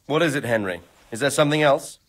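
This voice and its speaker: An actor playing a gracious, measured nobleman in a medieval game, speaking formally and courteously. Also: mid-range voice, lively intonation, close to an everyday voice. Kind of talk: dramatic game cutscene acting.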